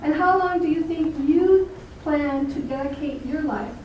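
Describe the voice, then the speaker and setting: High-voiced woman pressing questions, echoey. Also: a little slow, lo-fi sound. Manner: direct, pressing. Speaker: audience member asking questions. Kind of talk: question at a campaign luncheon. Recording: echoey room, from far off, noisy.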